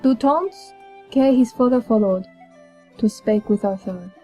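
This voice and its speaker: Young woman reading an old timey tale, real slow, soft dark tone, music behind. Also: a little high, husky, lo-fi sound. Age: young adult. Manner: measured, declamatory. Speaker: storyteller, college student. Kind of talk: scripted narration of an archaic verse tale. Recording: music under the voice.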